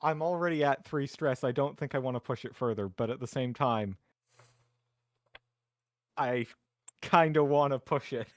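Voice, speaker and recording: A man's voice: mid-range voice, normal speed, pauses often, dry recording. Male tabletop player, mid-range voice, casual, theatrical, self-mocking chat, dry close recording. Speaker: player in a tabletop roleplaying game.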